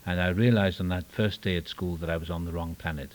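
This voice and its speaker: Older Welsh man, very deep voice. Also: fairly even intonation, fast. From Wales. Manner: self-deprecating, wry humor; rambling with restarts and trailing asides. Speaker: recovery meeting speaker. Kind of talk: personal testimony talk. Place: meeting room.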